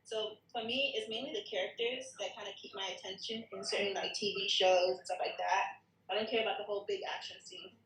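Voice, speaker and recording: A woman's voice: medium pitch, fairly even intonation, brisk, fairly bright tone. A woman politely and hesitantly giving casual feedback in a group discussion. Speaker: table read participant giving feedback. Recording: echoey room with faint background noise.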